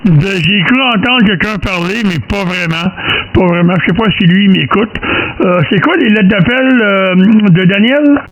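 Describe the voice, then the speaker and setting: High-voiced Quebec man on radio. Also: lively intonation, moderate pace, a bit bright, lo-fi sound. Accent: Quebec French. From Quebec, Canada. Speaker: amateur radio operator. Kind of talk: casual two-way radio chat. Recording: radio transmission with faint noise, clicks.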